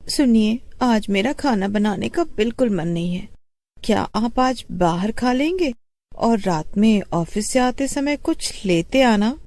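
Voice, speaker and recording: Woman voicing a curious young wife, high and lilting. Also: lively intonation, unhurried, a little mellow, dry recording. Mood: gently pleading. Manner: musing, questioning. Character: young curious wife, fixated and gently pleading. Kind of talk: animated horror story dialogue.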